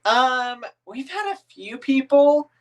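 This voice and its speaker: A man's voice: extremely high pitch, very animated, slow, fairly bright tone. Easygoing rambling man chatting with viewers. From northern United States. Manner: rambling, easygoing, many "um"s and restarts; reads viewer comments aloud and answers them. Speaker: family vlogger answering viewer comments. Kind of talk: casual livestream chat.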